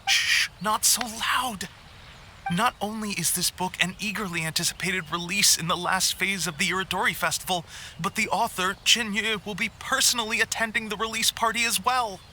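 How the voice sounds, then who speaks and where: A man's voice: high-pitched, moderate pace, bright, forceful tone, a little hiss or hum. An actor playing a courteous, easily flustered male editor in a video game, deferential and earnest. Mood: nervous, apologetic.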